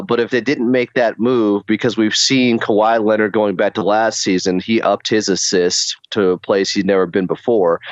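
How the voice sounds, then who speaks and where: A man's voice: lowish voice, no echo, dull audio. A man who hosts radio sports shows, guesting on a podcast and breaking down basketball fluently. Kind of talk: casual sports podcast chat.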